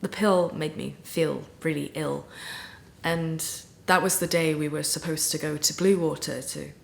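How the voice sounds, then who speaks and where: Actress as young woman, mid-range voice, guilt-ridden and nervy; halting, rambling confessional delivery for naturalistic drama monologue. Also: mid-range voice, lively intonation, echoey room, close to an everyday voice. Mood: guilty, subdued. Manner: halting, rambling, blurting, with nervous laughter. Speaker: acting student.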